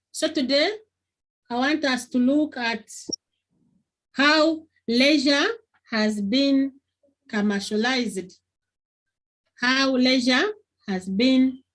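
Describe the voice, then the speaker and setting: A woman teacher giving an online class lesson over a video call, repeating key phrases and questioning her pupils often. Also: fairly high pitch. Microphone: computer on a video call.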